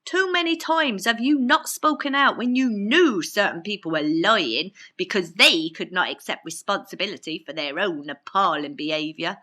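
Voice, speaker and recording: Woman as imaginary spiritual adviser, storybook character, preachy, chatty, fussy, bright cutting tone. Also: medium pitch.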